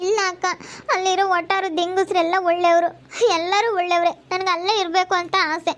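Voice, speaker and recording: A woman voices a tearful younger sister in a family drama. The voice is extremely high and childlike in pitch, plaintive and pleading, full of remorse. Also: flat delivery, a little fast, fairly bright tone. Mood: sad, insistent.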